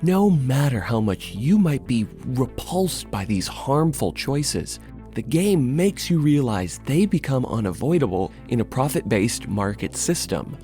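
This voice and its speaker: A man's voice: mid-range voice, big pitch swings, a little slow, dark tone. A man narrating a scripted video essay in an emphatic, dramatic way. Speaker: video essayist and channel host. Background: music.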